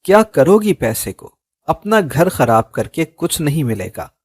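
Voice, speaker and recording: A man reading the lines of a middle-aged aunt who gives earnest, calm advice in a slow, dry-sounding recording. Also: on the high side, dry recording. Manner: earnest, pleading, advising.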